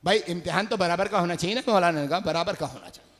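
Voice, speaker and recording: A man with an Urdu accent delivering a majlis sermon, rising to shouts and sung phrases as he calls out to the gathering. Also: high-pitched, fast. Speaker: majlis orator. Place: large religious gathering hall. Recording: long hall reverb.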